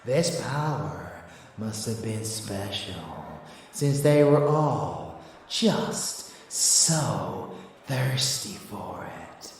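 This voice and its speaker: A man acting as a male vampire lover, low-voiced and intimate, murmuring with dark devotion in an ASMR roleplay. His delivery is extremely unhurried, soaked in echo. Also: lively intonation, far from the mic, a little hiss or hum, full character voice. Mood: dark, storytelling. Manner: slow, hushed, murmured endearments. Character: rebellious male vampire lover, doting, darkly scheming. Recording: heavy echo, music underneath.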